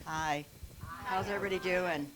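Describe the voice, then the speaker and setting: A woman's voice: lowish voice, normal speed, background noise. A woman, a visiting minister, talking casually to a congregation, chatty and prone to stumbling over her words and correcting herself. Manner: chatty, warm-hearted, loses her place and corrects herself. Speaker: guest minister at a spiritual center. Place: church hall. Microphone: handheld mic.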